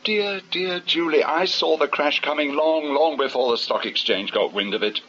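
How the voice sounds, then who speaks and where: A man's voice: on the high side, moderate pace, sharp tone. An actor playing a devious, weary middle-aged British businessman in a radio drama, with a sardonic, clipped manner. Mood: evasive, smooth. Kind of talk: 1980s BBC radio drama acting. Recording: muffled radio broadcast with clear hiss.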